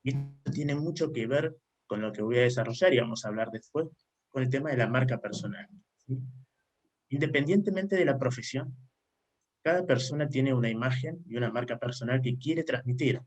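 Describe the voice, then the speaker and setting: An Argentine man speaking at a mid pitch as a panelist at an online round table. He lays out his ideas in long explanatory runs. He keeps checking in with his listeners through a rising "¿sí?". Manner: frequent questioning "¿sí?" tags, explanatory. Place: remote online conference.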